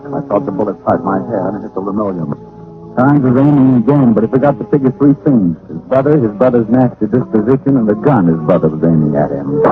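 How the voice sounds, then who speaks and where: An actor playing a witty, hard-boiled American private detective, dryly narrating an old-time radio crime drama. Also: male voice, on the low side, phone-quality sound, lightly acted. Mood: wry, hard-boiled. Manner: dry, wisecracking. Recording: thin, band-limited vintage radio recording.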